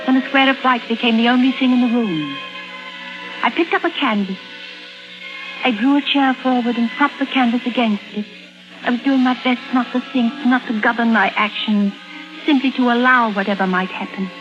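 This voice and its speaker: Vintage radio thriller, dull sound: actress as troubled, suspicious woman painter, dramatic delivery, fairly high voice. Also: normal speed, natural voice. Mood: intense, mounting. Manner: brooding, dramatic, intense. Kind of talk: 1950s radio drama acting, with narration. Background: dramatic music.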